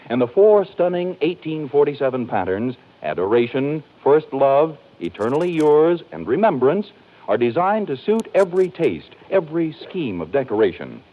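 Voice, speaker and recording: A man with an American accent reading scripted 1940s radio announcements in a polished, emphatic way. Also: mid-range voice. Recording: tinny old radio broadcast recording.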